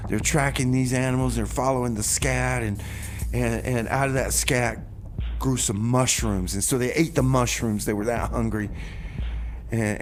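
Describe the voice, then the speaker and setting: Male radio host, average pitch, conversational and loosely rambling comic patter, slight noise in a studio. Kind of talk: casual radio music show chat with comedy bits.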